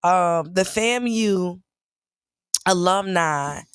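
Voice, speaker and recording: A woman co-hosting a morning show, her voice hoarse and raspy, chatting casually and telling stories. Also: on the low side, very slow, dry recording, studio quality. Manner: chatty, storytelling, laughs easily. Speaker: radio show co-host.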